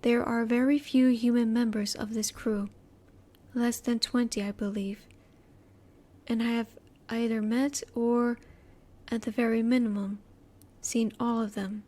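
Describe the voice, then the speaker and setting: A woman voicing a curious, reserved female alien scientist in a roleplay audio, speaking politely in formal, measured, halting phrases. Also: a little high, little pitch movement, warm tone. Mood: apologetic, formal. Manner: formal, measured, precise wording with halting pauses. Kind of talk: scripted roleplay audio, spoken intimately to the listener.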